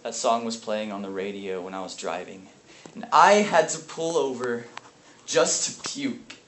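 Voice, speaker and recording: A man performing a dramatic monologue as a haunted, bitter, grieving brother, speaking in a halting, intense way. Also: mid-range voice, expressive, a bit bright, pitched below the performer's own voice. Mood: haunted pain. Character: grief-stricken brother, haunted and bitter over family abuse. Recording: echoey, noisy room from far off.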